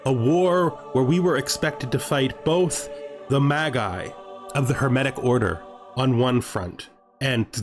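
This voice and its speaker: A man playing a proud, zealous vampire commander in a measured, grandiose game roleplay monologue. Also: medium pitch, lightly acted. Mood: solemn pride. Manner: solemn, grandiose, measured oratory. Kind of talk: dramatic in-character roleplay monologue. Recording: music behind the voice.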